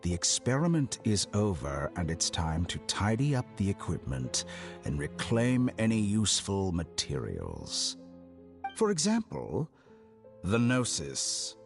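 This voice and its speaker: Low, arrogant villainous scholar. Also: male voice, huge pitch swings, faint music behind, heavily acted. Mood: cool, condescending. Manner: smooth, condescending, deliberate, mock-polite. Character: arrogant, calculating male scholar villain, coolly amused. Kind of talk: video game voice acting.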